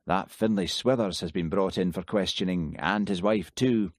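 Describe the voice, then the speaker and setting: A man's voice: low-pitched, moderate pace, dry recording, studio quality. A man reading an audiobook, voicing a grudging, heartless detective who makes sardonic remarks. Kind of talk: audiobook character voice.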